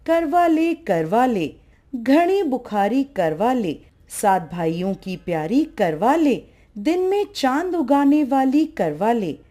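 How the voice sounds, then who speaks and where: A woman's voice: fairly high pitch, sing-song, takes it slowly, dark tone, heavily acted. A woman voicing an imperious mother goddess in a folk tale, with a chanting, commanding manner. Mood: chanting, calling.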